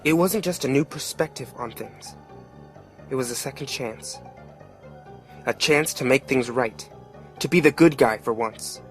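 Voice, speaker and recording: A man's voice: mid-range voice, measured pace, pauses often, faint music behind, natural voice. A man playing a plain-spoken working-class father who narrates a dramatic tale in an earnest, colloquial way. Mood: reflective.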